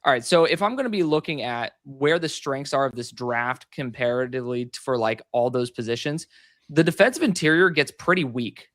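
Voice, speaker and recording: Relaxed young man on a dry mic. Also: mid-range voice, dry recording. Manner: relaxed, laughs easily, thinks aloud with fillers. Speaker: guest mock draft analyst. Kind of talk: casual live show chat.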